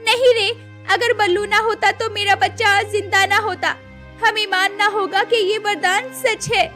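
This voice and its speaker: महिला की आवाज़ में बहुत ऊँची आवाज़ वाली ग्रामीण महिला. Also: female voice, extremely high pitch, fairly even intonation, a little slow, bright, forceful tone, soft background music, pitched above the performer's own voice, heavily acted. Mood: insistent. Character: excited, grateful village woman, mother of young child.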